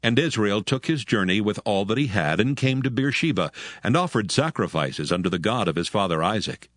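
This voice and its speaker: A man's voice: fairly low pitch, expressive, fairly quick, fairly bright tone, dry recording, studio quality. A man narrating scripture from a script as a formal storyteller.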